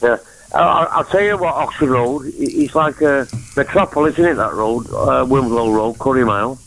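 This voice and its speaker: A man's voice: medium pitch, moderate pace, vocal fry, slight background noise, poor audio. A man with a Mancunian accent rambling from topic to topic on a phone-in call. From England. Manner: rambling, jumps between topics. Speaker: caller.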